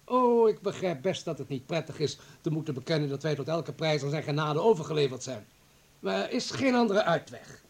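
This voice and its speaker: A man's voice: a little high, sing-song, fairly quick. A man acting in a Dutch radio play as a pragmatic, calculating party comrade, urgent and persuasive. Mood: urgent persuasion.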